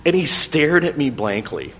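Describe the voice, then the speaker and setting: A middle-aged man whose first language is French, telling a thoughtful, gently wry personal story in English. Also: a little high, big pitch swings, unhurried, a little hiss or hum.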